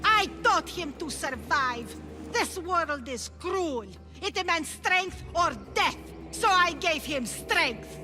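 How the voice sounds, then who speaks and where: A woman's voice: extremely high pitch, animated, slow, over music, exaggerated voice. A woman playing a cruel, gloating cult priestess in a video game, with a menacing, theatrical delivery. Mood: cold zeal. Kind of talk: dramatic video game voice acting.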